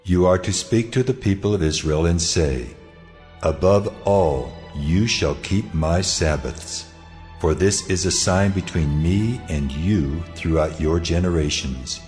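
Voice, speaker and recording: An actor playing God as a solemn, commanding deity in a dramatized scripture reading, speaking deliberately. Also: male voice, low-pitched, lively intonation, darkish tone, faint music behind.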